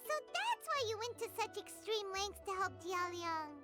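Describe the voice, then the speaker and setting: A woman voicing a tiny, excitable floating fairy companion in an anime video game. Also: very high-pitched, moderate pace, over music. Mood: curious. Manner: refers to self in third person, exclamatory.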